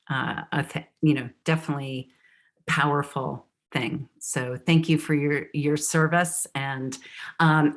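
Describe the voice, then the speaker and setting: A woman with a low voice hosts a storytelling gathering over a video call. She talks slowly, upbeat and loose, leaning on lots of fillers between thoughts. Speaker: host. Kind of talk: hosting a live storytelling event on a video call.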